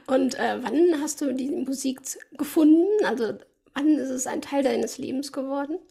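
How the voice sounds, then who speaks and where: A woman's voice: sits high, normal speed, gravelly, warm tone, husky. A woman hosting a podcast, chatting casually with a guest. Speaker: podcast host. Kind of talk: casual interview chat.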